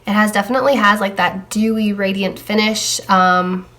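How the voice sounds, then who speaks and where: Slightly hoarse woman chatting through a makeup review. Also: medium pitch, fairly even intonation, normal speed, fairly bright tone. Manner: chatty, talking while applying makeup. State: hoarse from a cold. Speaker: beauty vlogger.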